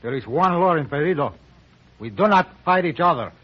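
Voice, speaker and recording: An actor playing a deferential but firm cantina keeper with a Mexican accent in an old radio western. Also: male voice, a little high, lively intonation, brisk, husky. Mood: stern. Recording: muffled vintage radio recording.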